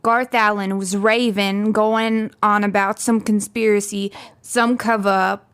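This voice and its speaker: A woman's voice: medium pitch, little pitch movement, measured pace, a bit bright, smooth, close to an everyday voice. An actress playing a bitter, devout small-town mother in her sixties, blunt and cutting, in a faux-documentary audio drama. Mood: bitter, cold. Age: 60s. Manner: blunt, cutting, matter-of-fact.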